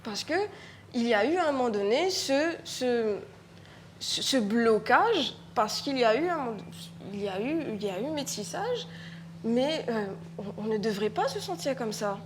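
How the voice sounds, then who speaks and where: Hesitant Mauritian woman, slightly high voice. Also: lively intonation, a little slow, a little hiss or hum. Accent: Mauritian French. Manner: hesitant, often restarting and repeating words. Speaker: writer on a panel. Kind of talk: reflective talk at a live conference.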